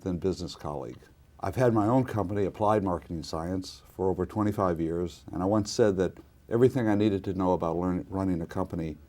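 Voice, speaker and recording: Older guy, low voice, measured and formal, doing interview intro and questions. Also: warm tone. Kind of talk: oral history interview.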